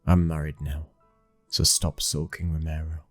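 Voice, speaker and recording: A British man acting a cold, dominant young mafia heir and protective new husband in an ASMR roleplay, speaking in a low, husky hush, slow and commanding. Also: flat delivery, faint music behind. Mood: menacing.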